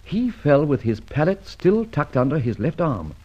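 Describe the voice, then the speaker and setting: A man playing a suave, wry and commanding gentleman adventurer in a vintage radio adventure serial. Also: fairly high pitch, big pitch swings, normal speed, slightly rough. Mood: brisk reasoning. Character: suave, self-assured gentleman adventurer and amateur sleuth. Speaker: narrator and lead actor. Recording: dull old radio recording, music behind.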